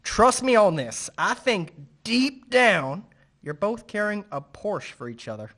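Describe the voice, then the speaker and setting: A man's voice: high-pitched, expressive, a little slow, a bit bright, heavily acted. A man playing a naive, earnest marina worker in a radio play, guileless and eager.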